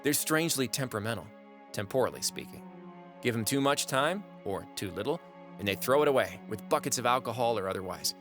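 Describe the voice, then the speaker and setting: An actor playing a wry, folksy, sardonic narrator who observes humans in an audio drama. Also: male voice, mid-range voice, animated, a little fast, a bit bright. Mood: sly, musing. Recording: music under the voice. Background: prominent music.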